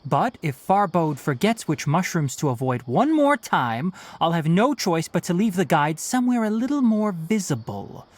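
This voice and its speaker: An actor voicing a young male forest ranger chief in a video game, a dry, measured, gently scolding mentor. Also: medium pitch, very animated. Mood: wry exasperation.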